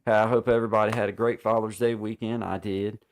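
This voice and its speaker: A man's voice: fairly low pitch, flat delivery, fast talker. A man hosting a casual live stream chat, talking in an easygoing, folksy way.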